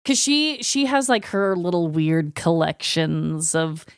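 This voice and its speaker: A woman's voice: low voice, lively intonation, takes it slowly, clear, resonant tone, dry recording, clean studio sound. A woman co-hosting a podcast, chatting informally and reacting expressively and agreeably. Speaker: podcast co-host and character performer. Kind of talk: casual, reactive podcast chat.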